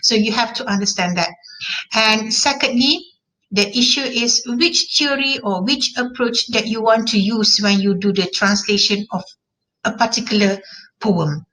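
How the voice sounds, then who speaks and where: A woman's voice: fairly high pitch, unhurried, pushed and bright, low-quality recording. A woman with a Malaysian accent, a native Malay speaker, giving an online class lecture and repeating key terms for emphasis.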